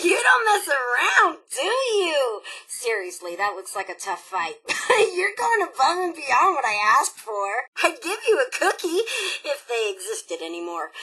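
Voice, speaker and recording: A woman's voice: high-pitched, heavily acted, rough recording. A woman voicing a crazy, murderous female raider robot in a video game, sassy, bossy and impatient, with sarcastic, slangy delivery. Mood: amused, sardonic. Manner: sarcastic, slangy, theatrically expressive. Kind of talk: video game character dialogue.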